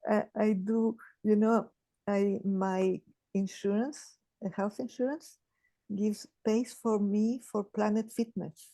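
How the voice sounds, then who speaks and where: A woman, sharing in a group call in a halting, thoughtful way with many restarts. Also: mid-range voice, fairly even intonation, mellow, dry recording. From Chile. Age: 80s. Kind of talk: casual sharing on a video call.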